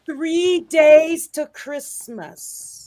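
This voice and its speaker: A woman's voice: high-pitched, very slow, warm tone. A woman hosting a live-stream talk show, chatting in an upbeat way and laughing often. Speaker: host. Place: home in Colorado.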